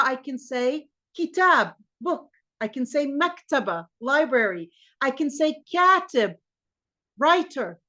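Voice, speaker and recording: An American woman teaching a class in a lively, animated way and often calling her students "you guys". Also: fairly high pitch. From United States. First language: English. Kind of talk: classroom lecture.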